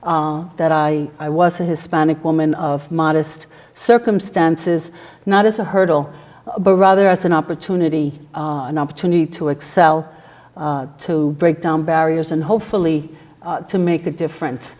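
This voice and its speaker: A middle-aged woman whose first language is Spanish, giving a prepared speech to a campus audience in a measured, formal way with frequent "uh" fillers. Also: deep voice, fairly even intonation, a little mellow. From New York City. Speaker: guest speaker, federal prosecutor.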